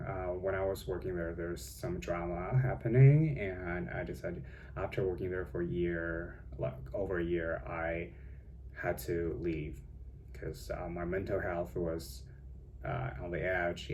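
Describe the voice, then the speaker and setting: A man's voice: sits low, a little mellow, a little hiss or hum. A young man from China with a Chinese accent, speaking English as a second language and chatting casually in a vlog from home, rambling with many fillers and self-corrections. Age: young adult. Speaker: vlogger. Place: home with cats.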